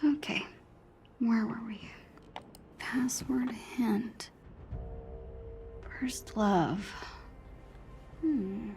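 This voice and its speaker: An eager teenage girl with a high voice, talking to herself at an unhurried pace. She is a geeky young hacker and superhero fan in a video game, casual and easily excited. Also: slight background noise. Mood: focused muttering. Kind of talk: video game acting, muttering to self.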